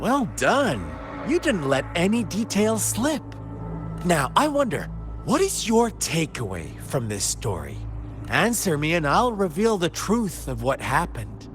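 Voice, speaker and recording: An actor voicing a theatrical, menacing video game villain who tells dark parables in a playful, taunting way with drawn-out phrasing. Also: male voice, high-pitched, wildly swooping pitch, over music, full character voice. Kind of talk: dramatic video game voice acting.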